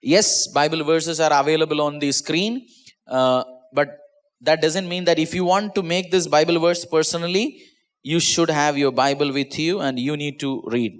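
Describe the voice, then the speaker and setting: A man who is a pastor, with an Indian English accent and Malayalam as his first language, preaching an online sermon in an earnest way, often repeating and restarting words. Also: moderate pace. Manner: earnest, repeats and restarts words, drops pitch at phrase ends. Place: small church.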